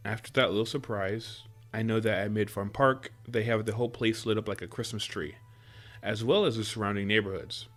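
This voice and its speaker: A man's voice: on the low side, fairly bright tone. A man playing an affectionate, playful boyfriend in a roleplay audio drama, talking in a teasing, coaxing way. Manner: teasing, chuckles often, coaxing. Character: affectionate playful boyfriend spoiling his sweetheart with surprises.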